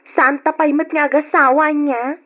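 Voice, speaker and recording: A woman's voice: high voice, steady tone, moderate pace, fairly bright tone, lo-fi sound. An actress playing a young female student, coy and playful, in an old radio drama. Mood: teasing affection.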